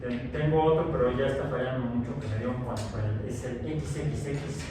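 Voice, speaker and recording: A man's voice: mid-range voice, steady tone, moderate pace. A man, a science teacher chatting casually with his class, telling rambling stories full of fillers and restarts. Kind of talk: casual chat with students before class. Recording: very echoey room, distant mic, background noise.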